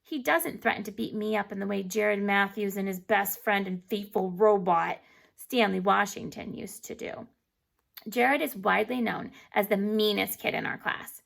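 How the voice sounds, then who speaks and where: A woman reading a children's chapter book aloud in an animated, emphatic way, stumbling over words now and then. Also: mid-range voice, moderate pace, vocal fry. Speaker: storybook reader.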